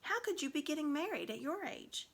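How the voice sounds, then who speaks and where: Storybook boy, played by a female reader: naive, frank, questioning, bright voice with lively rises and falls. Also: sits high, a little fast, gravelly, voice pushed up, heavily acted. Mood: puzzled, challenging. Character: blunt, naive young boy. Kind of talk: storybook read aloud.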